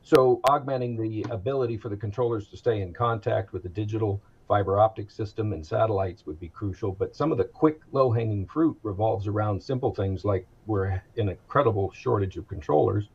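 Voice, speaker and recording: An American man speaking as an expert guest in an interview, explaining things in a measured, matter-of-fact way. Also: on the low side, gravelly, darkish tone, breathy edge, muffled recording. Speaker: aviation executive, interview guest.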